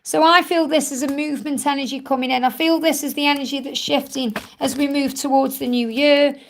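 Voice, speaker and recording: Woman host with a high, gravelly, croaky voice giving reassuring card readings on a live stream. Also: fairly even intonation, fairly quick. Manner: soothing and reassuring, repeats phrases often. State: croaky, tired voice from much talking.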